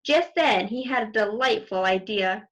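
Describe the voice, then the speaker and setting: A woman's voice: medium pitch, unhurried. A woman reading a children's story aloud expressively, with asides to young listeners. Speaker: storybook reader.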